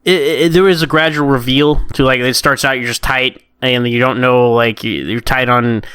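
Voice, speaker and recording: A man with a bright, forceful voice chats casually as a podcast co-host. He sounds clear and close in a dry recording, and he rambles in an animated way as he thinks aloud. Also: medium pitch, moderate pace, dry recording. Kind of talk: casual comic book discussion.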